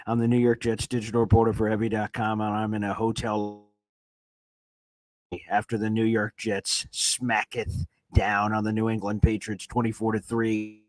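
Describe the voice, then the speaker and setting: A hoarse man, his voice worn thin from screaming, hosts a live reaction stream. He rambles excitedly with lots of "uh"s, in a lowish voice. The sound is dry and close, with no room echo. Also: normal speed. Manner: excited, rambling, with frequent "uh" fillers and restarts. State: hoarse, voice nearly gone from screaming. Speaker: sports reporter and livestream host. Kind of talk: live sports reaction livestream. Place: hotel room. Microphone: streaming setup on weak hotel wifi.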